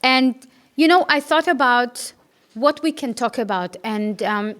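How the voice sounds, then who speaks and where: A woman's voice: a little high, measured pace, a bit bright. Upbeat Israeli-born woman hosting a chat. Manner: enthusiastic, with frequent restarts and fillers. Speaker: talk show host. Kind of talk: casual interview chat.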